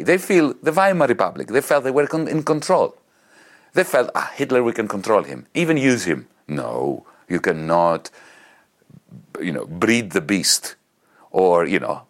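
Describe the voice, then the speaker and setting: A man with a Greek accent arguing politics in an interview, emphatic and animated, piling up examples. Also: lowish voice. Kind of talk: impassioned political argument.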